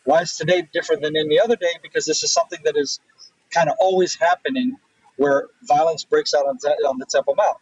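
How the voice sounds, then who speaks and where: A man from Israel answering interview questions thoughtfully, pausing often with fillers and restarts. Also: fairly high pitch, steady tone, slightly rough, dry recording. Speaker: guest. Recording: distant, dull remote audio.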